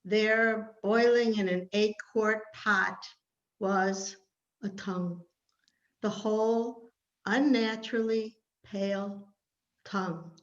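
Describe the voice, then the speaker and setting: A woman reading a prepared personal story aloud with measured, dramatic phrasing. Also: mid-range voice, fairly even intonation, highs cut off. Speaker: participant sharing a family memory.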